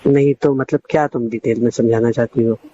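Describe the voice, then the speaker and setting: A man's voice: medium pitch, fairly even intonation, brisk. A man, a coaching teacher, talking casually in a private phone conversation on a muffled, noisy recording.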